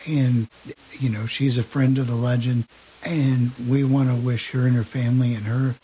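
A man hosting talk radio, speaking earnestly and a little haltingly. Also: medium pitch, level tone, warm tone, low-quality recording. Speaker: radio show host. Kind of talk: casual talk radio announcement. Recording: slight hiss.

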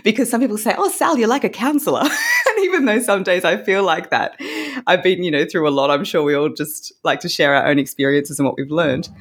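A woman with an Australian accent, a voice coach explaining confidence tips in a relaxed interview, laughing often as she talks. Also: fairly low pitch, fairly quick, breathy edge. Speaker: voice coach guest. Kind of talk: conversational interview.

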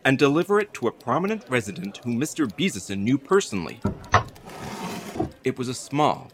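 A man's voice: medium pitch, fairly bright tone. An actor playing a hapless, anxious delivery carrier who tells his creepy tale with wry, theatrical drama in an audio play. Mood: wry, brisk. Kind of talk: dramatic first-person narration in an audio play.

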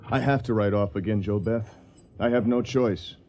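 An actor playing a gentle, idealistic young Yankee soldier in a radio drama, soft-spoken and earnest. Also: male voice, on the low side, fairly even intonation, moderate pace, lightly acted. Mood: impassioned, resolute. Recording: muffled radio recording, background noise.

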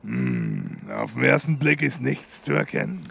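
A man playing a gruff, slow-witted police commissioner with a gravelly, husky voice and deadpan grumbling in a comic audio drama. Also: medium pitch, full character voice. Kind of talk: comedic audio drama acting.

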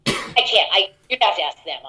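A middle-aged actress telling stories in an interview, chatty and laughing, with many fillers and restarts. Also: female voice, expressive, moderate pace, pushed and bright. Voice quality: deeper voice. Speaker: actress guest. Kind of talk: casual interview anecdotes.

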